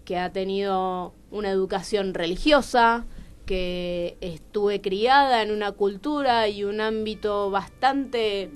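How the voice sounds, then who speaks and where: A woman's voice: mid-range voice, slow, smooth. A woman with a Rioplatense Argentine accent speaking candidly as a guest playwright in a radio interview, questioning herself aloud and acting out bits of dialogue. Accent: Rioplatense Argentine Spanish. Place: radio studio.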